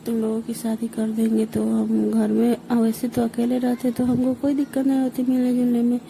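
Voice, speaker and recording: Casting: female actor as blunt village stepmother, forties, very muffled dark tone, gravelly creak, unashamed. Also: a little high, completely flat delivery, normal speed, slight background noise. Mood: defiant.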